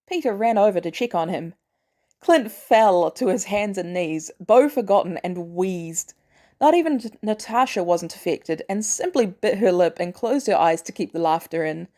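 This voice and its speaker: Expressive woman narrator with lively pitch. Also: moderate pace. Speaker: podfic narrator. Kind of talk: expressive scripted story narration.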